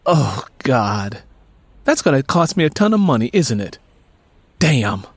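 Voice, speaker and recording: A man's voice: medium pitch, very animated, a little slow, slightly rough, no room sound, exaggerated voice. A man voicing a guarded, exasperated woman in an audiobook story, blunt and reluctant in manner. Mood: dismayed. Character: exasperated, guarded young woman with broken-down car.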